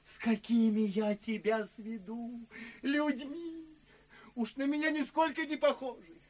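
An actor playing a boastful, good-natured, foolish gentleman in a radio play, gushing and confiding in theatrical verse with a husky voice. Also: very high voice, steady tone, measured pace. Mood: gushing, coaxing. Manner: gushing, exclamatory, conspiratorial, drawing words out.

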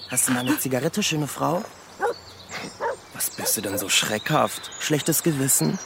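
A flippant, chatty park cruiser in a radio play. His medium-pitched voice teases and needles over clearly audible outdoor ambience. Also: sing-song, unhurried, heavy background noise. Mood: cheeky, needling. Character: cheeky, teasing male cruiser loitering in a park. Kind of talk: German radio drama acting.

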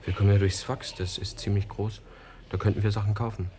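An actor in a German radio play playing a polite, reserved, quietly uneasy writer in a measured conversational way. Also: male voice, fairly low pitch, flat delivery, a little hiss or hum, close to an everyday voice. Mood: casual, friendly.

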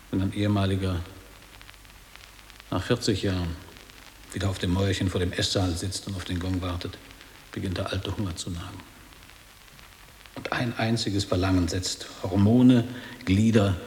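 Bitter middle-aged ex boarding school guy in a radio play, low voice, preachy and measured, big echoey space. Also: darkish tone. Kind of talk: literary radio drama acting. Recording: reverberant echoing hall, noticeable hiss.

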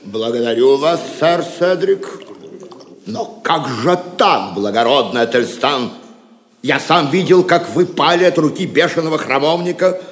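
An actor playing a valiant, courteous knight in an audio drama, speaking formally in a reverberant hall. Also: male voice, a little high, expressive, measured pace. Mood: polite, astonished. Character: courteous valiant male knight, astonished guest. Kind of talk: dramatic audio play acting.

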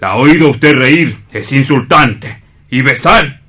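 An actor with an accent from Spain playing a fussy, prim, morbid clinic assistant in a radio play reading, formal and indignant. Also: male voice, medium pitch, expressive, slow, bright, forceful tone, husky, full character voice.

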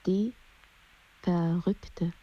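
Casting: sober female radio-play narrator, restrained, lowish pitch, unhurried delivery. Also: steady tone, mellow, no room sound, highs cut off. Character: sober female narrator announcing chapters of a literary life.

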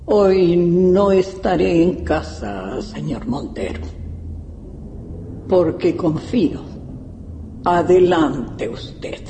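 A frail, formal old lady for a radio play. Her delivery is extremely slow and drawn out, with a dark, muted tone. The voice rings in a long, hall-like reverb. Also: lowish voice, exaggerated voice. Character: frail, formal old widow, eerie and stately. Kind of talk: radio drama acting. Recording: distant, long hall reverb, faint noise.

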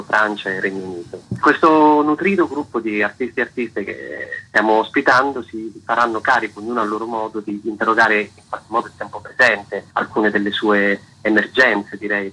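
A man's voice: lowish voice, fairly even intonation, normal speed, few pauses, pushed and bright, breathy edge, rough recording. A man speaking as an interview guest, articulate and formal, in long winding sentences. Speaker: festival artistic director, interview guest. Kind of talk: prepared interview answers.

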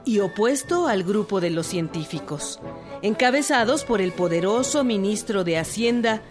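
A woman's voice: mid-range voice, lively intonation, normal speed. A woman with a Mexican accent giving scripted historical narration on a radio drama. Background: music.

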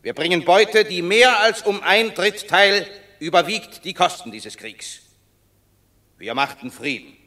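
An imperious warrior in a classical verse radio play. The voice is forceful and slow, swinging widely in pitch as he declaims with solemn pride. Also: animated, exaggerated voice. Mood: proud, formal. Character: proud, imperious male Roman warrior returning from war. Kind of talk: classical stage-verse radio drama acting.

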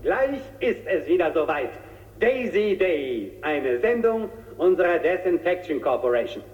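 A man's voice: on the high side, big pitch swings, unhurried, long reverb tail. A man playing a gushing television entertainer in a radio drama, savoring and repeating words like a showman, in a tinny recording. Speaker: TV presenter in a drama.

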